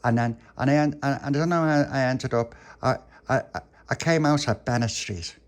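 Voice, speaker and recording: A chatty, adventurous little schoolgirl in a children's story, played by a man, with a raised pitch. She is earnest and rambles as she explains. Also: fairly quick, natural voice. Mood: puzzled, earnest.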